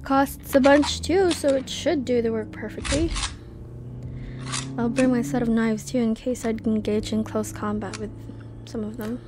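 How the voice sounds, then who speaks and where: A woman plays a defiant young female agent in an audio drama. She speaks slowly and coolly, in a fairly high, resolute voice, over faint background noise. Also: steady tone, close to an everyday voice. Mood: determined. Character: defiant, short-tempered young female armed agent seeking justice.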